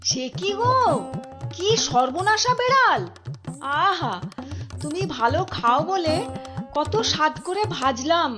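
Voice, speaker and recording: A woman's voice: sits high, lively intonation, slow pace, pauses often, over loud music. A woman voicing a doting but scolding village housewife in a cartoon, exclaiming and lamenting. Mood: dismayed.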